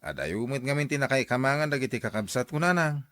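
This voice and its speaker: A man's voice: mid-range voice, lively intonation, fast, a bit bright, no echo, studio quality, lightly acted. An actor in a radio drama playing a dutiful adult son talking at home with his mother. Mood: mildly worried.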